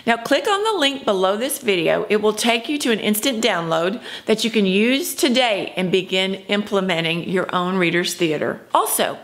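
A woman's voice: medium pitch, expressive, moderate pace, few pauses, a bit bright. A woman in her fifties presenting a scripted instructional video as a drama teacher, speaking emphatically to camera. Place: indoor room at home.

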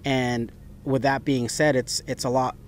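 A young adult man born in the Philippines, a professional golf caddie answering interview questions in a relaxed, rambling way. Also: mid-range voice, measured pace, a little hiss or hum. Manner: relaxed, talks in loose run-on phrases with fillers. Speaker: PGA Tour caddie, guest.

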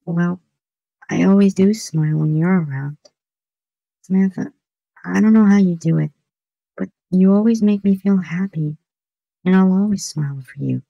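Woman voicing a mellow, lovesick cartoon boy. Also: on the low side, moderate pace, vocal fry, long pauses, no echo, lo-fi sound. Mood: tender, earnest. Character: lovesick, downcast cartoon schoolboy. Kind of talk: amateur comic dub acting.

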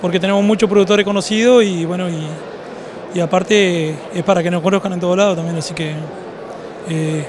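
Halting Argentine man at a noisy expo. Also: high-pitched. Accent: Argentine Spanish. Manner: halting, many restarts and "eh". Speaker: cooperative member. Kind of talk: trade fair interview. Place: indoor exhibition hall. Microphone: handheld interviewer microphone. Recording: news camera at noisy expo.

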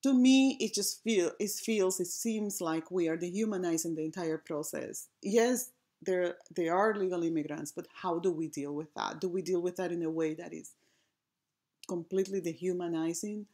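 A woman from gives a reflective presentation over slides, pausing often with ums and restarting her phrases. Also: lowish voice, warm tone. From Puerto Rico. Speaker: design lecturer.

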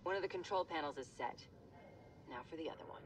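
A woman's voice: deep voice, fast talker, recorded from a distance, heavy background noise, close to an everyday voice. A woman voicing a confident young military covert agent in a video game, speaking in a clipped, cool, self-assured way. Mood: businesslike. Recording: loud music behind.

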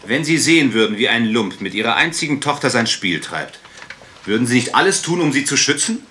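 An actor playing a politely relentless English gentleman detective in a German radio crime drama, questioning with insinuation. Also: mid-range voice, big pitch swings, a little fast, slight background noise. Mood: insinuating, pressing.

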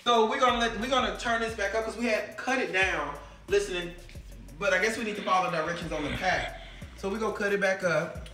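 A man's voice: high voice, fairly quick, sharp tone. An American man in his thirties hosting a cooking vlog with playful, sassy, casual banter. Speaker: cooking show host. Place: home kitchen, indoors. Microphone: camera a few feet away. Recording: echoey kitchen, distant mic, music behind.

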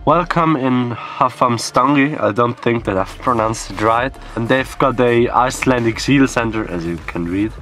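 A man's voice: medium pitch, a little slow, few pauses, fairly bright tone, soft background music. A young man chatting casually through a travel vlog and unsure how to say the local place names. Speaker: vlogger. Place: inside a car and a small guest room.

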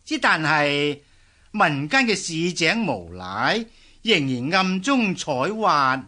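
Fawning, swooping palace eunuch. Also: male voice, fairly high pitch, takes it slowly, full character voice. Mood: fawning, coaxing. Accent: Hong Kong Cantonese. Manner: obsequious, flattering. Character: scheming, fawning male palace eunuch serving the emperor. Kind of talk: Cantonese historical radio drama acting.

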